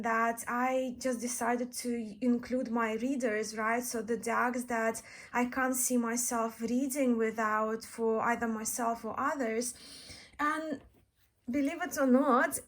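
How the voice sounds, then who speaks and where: Slow-paced Eastern European woman, higher voice. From Belarus, born in Russia. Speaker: tarot deck reviewer, vlogger. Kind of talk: casual chat to viewers, show-and-tell.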